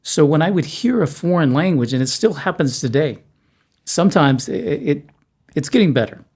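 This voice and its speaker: A man's voice: on the high side, animated, normal speed, darkish tone, breathy edge. A man hosting a podcast interview, talking in a chatty, self-deprecating way with frequent restarts. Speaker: podcast host. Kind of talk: casual interview chat. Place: studio room in Moscow.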